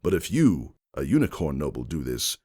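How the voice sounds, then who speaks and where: A man voicing an agitated, indignant aristocratic unicorn stallion in a dramatic fan fiction reading, forceful and accusatory. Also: extremely low pitch, very animated, normal speed, dry recording, studio quality, voice pushed down, heavily acted.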